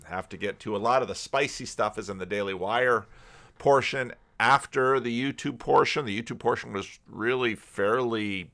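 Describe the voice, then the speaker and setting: A man giving casual, unscripted commentary in a rambling, halting way, often restarting his sentences. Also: lowish voice, pushed and bright, smooth. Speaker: YouTube commentator and pastor.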